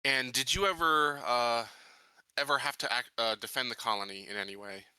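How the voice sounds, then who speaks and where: A man playing a tabletop game, improvising courtroom questions with halting, somewhat formal phrasing. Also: mid-range voice, sharp tone, smooth, no echo, rough recording. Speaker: tabletop player questioning a witness in character.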